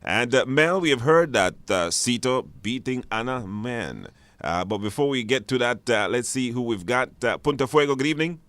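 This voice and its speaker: A man's voice: medium pitch, lively intonation, moderate pace, a bit bright, no room sound. A man casually hosting a live radio call-in show, chatty and encouraging, speaking in a studio.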